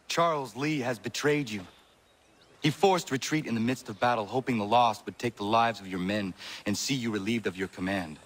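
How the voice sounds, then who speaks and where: Game role: male actor as blunt, resolute young warrior, mid-pitched, stern and accusing. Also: normal speed, lightly acted. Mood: cold anger. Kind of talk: video game cutscene acting.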